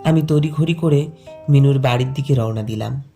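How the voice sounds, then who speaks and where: A man's voice: medium pitch, normal speed, mellow, soft background music, close to an everyday voice. A man playing a lovelorn young villager who tells his tragic love story in a pensive, scripted first-person narration. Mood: pensive, sorrowful.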